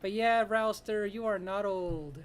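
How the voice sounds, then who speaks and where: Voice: medium pitch, a little slow, a little hiss or hum. A streamer in their late thirties chatting casually with viewers while drawing live, laughing often and greeting people by name. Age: 30s. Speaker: live-stream artist host.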